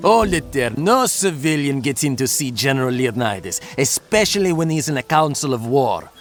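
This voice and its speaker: An actor playing a gruff, dismissive Spartan officer in a video game, curt and haughty. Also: male voice, mid-range voice, sing-song, moderate pace, soft background music. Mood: stern, brusque. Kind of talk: video game character dialogue.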